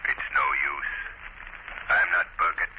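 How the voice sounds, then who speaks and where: An actor playing a menacing unseen crime avenger in an old radio drama, speaking in a slow, taunting, ominous way. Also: male voice, deep voice, little pitch movement. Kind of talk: 1940s radio drama acting. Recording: muffled, hissy old radio broadcast.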